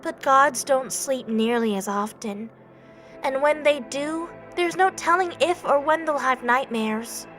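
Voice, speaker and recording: A woman voicing a youthful, innocent younger-brother god in an audio drama, soft and feminine, with a timid, halting, earnest delivery. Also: high-pitched. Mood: wistful, lonely. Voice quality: soft, delicate, feminine-sounding. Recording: background music bed.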